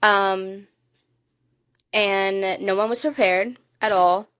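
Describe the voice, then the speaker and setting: A woman co-hosting a podcast, chatting casually in an informal, expressive and emphatic way. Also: mid-range voice, takes it slowly, clean tone, dry recording, studio quality. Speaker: podcast co-host. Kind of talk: casual chat about TV shows.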